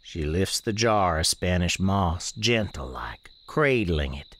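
Country store man narrating spooky radio play, real slow, kinda low, homespun. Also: expressive, fairly bright tone, full character voice. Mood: watchful. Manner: unhurried, deliberate, homespun. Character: folksy, plainspoken, watchful country storekeeper of the supernatural. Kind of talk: first-person radio drama narration and dialogue.

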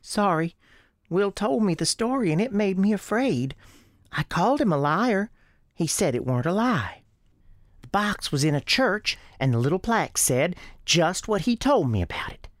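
Performer voicing an earnest, high-pitched young Southern girl. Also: big pitch swings, normal speed, no echo, clean studio sound, natural voice. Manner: rustic childish grammar, eager rambling confessions.

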